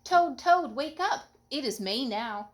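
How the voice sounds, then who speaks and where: Woman as an eager, high-pitched storybook frog. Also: takes it slowly. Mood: excited, urging. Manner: excited calling out, pleading. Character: eager, friendly male frog, cheerful and urging. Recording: home recording with background noise.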